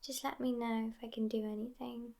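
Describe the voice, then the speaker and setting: A woman voicing a sassy, affectionate immortal vampire girlfriend in an ASMR roleplay, hushed and often whispering, with a languid delivery. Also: a little high, flat delivery, a little fast, vocal fry. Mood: tender, reassuring.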